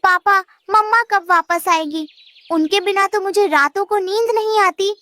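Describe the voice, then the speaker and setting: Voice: very high-pitched, unhurried, sharp tone, no room sound, full character voice. A performer voicing a sweet, innocent little girl bird in a cartoon, with a childlike, earnest manner. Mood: worried, innocent. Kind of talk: animated story dialogue.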